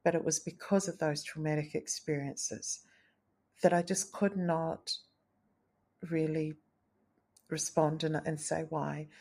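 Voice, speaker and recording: A woman hosting a solo podcast, speaking in an earnest, gentle, thoughtful way with a low, tenor-like voice. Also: flat delivery, takes it slowly, pauses often. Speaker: podcast host. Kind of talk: reflective solo podcast talk.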